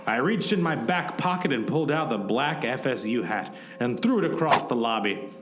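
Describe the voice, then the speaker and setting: A man as an animated, earnest radio-play hero. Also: mid-range voice, moderate pace, lightly acted. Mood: dramatic suspense. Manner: dramatic, urgent, wide-eyed. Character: earnest young male hero narrating his showdown. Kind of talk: comic radio-play narration and dialogue. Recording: roomy echo with music underneath.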